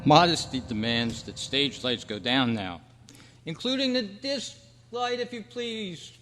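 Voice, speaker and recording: A man's voice: a little high, measured pace, a bit bright, slight background noise. A man making scripted announcements between stage radio plays. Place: convention meeting hall. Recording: echoey hall, long reverb.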